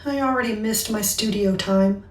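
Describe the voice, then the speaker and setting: A woman's voice: medium pitch, normal speed, a little mellow, natural voice, low-quality recording. A woman acting in an audio drama as a defiant, sarcastic woman with a teasing, flirty streak. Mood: wry, guarded. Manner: sardonic, teasing.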